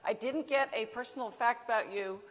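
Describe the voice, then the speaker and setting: A woman with a fairly high voice introduces the next speaker at a conference, loose and informal with small stumbles. The hall adds a long reverb to her voice. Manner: informal, a little halting.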